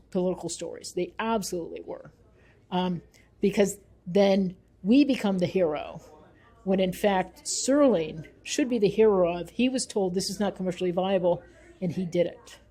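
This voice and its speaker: Earnest woman with a mellow voice. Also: fairly low pitch. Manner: earnest, emphatic, repeats phrases for weight. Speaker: researcher and interview guest. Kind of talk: thoughtful spoken interview.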